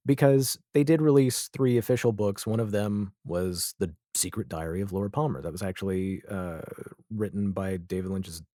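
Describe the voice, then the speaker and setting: A man's voice: on the low side, moderate pace, hardly any pauses, warm tone, no room sound. Wry man in a dry, close recording. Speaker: podcast guest, audio drama creator. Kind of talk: casual interview chat about television.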